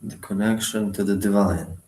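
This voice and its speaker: A man leading a guided meditation, giving instructions in short, sparse phrases with long pauses between them. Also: on the low side, steady tone, a little mellow, roomy sound, poor audio.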